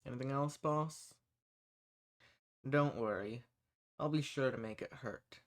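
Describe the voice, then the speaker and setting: A man's voice: mid-range voice, unhurried, very noisy, lightly acted. Man acting a folksy undercover monster hunter. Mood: menacing. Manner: folksy, reassuring. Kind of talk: scripted roleplay audio drama addressed to the listener.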